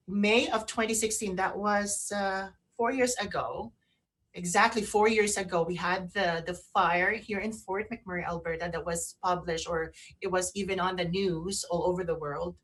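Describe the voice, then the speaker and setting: Halting woman co-hosting a casual talk show. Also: mid-range voice, a bit bright, poor audio. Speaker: radio show co-host.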